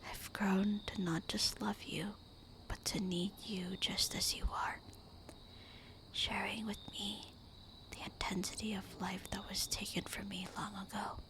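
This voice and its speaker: A woman voicing a tender, melancholy immortal vampire wife in a breathy, intimate whispered ASMR roleplay. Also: mid-range voice, flat delivery, close to an everyday voice, low-quality recording. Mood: loving, wistful. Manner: slow, intimate, wistful murmuring.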